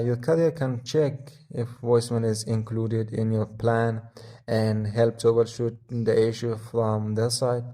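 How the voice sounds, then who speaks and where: A man hosting a step-by-step tech tutorial, talking in a halting way with frequent hesitations. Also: medium pitch, fairly bright tone. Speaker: tutorial host.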